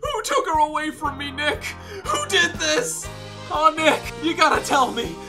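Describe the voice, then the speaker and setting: A man's voice: very high voice, very animated, unhurried, sharp tone, soft background music, full character voice. A man acting a melodramatic, hapless young defendant in a game fandub, whiny and wailing over the top. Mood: anguished.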